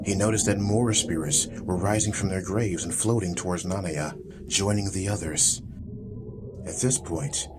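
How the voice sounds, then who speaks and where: A man's voice: low-pitched, noisy background. A man reading a scripted, dramatic story as an audiobook narrator.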